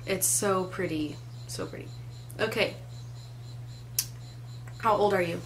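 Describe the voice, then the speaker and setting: A woman's voice: fairly low pitch, takes it slowly, a little hiss or hum, rough recording. A woman in her twenties chatting casually to a webcam in a small room, with lots of "like" and "um" fillers. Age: 20s. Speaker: co-host. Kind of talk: casual chat. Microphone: webcam at desk distance.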